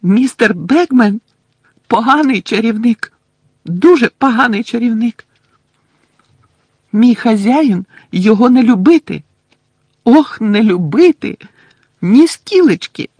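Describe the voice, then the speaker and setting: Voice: mid-range voice, animated, slow, pauses often, darkish tone, husky, no echo, pitched above the performer's own voice, full character voice. A reader voicing a tearful, devoted female house-elf who sobs and talks in broken grammar about herself in the third person. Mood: indignant. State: sobbing.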